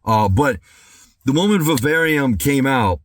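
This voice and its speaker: A man doing excited reaction commentary, clearing his throat as he recovers from being sick and saying dude and bro a lot. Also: mid-range voice, a little slow, no echo. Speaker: music reaction YouTuber.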